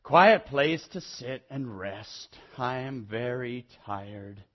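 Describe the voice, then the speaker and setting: A man putting on a weary, husky old man. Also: medium pitch, lively intonation, slow pace, highs cut off. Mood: exhausted, resigned. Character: boy grown old, weary, sad and wistful. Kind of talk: read-aloud children's storybook.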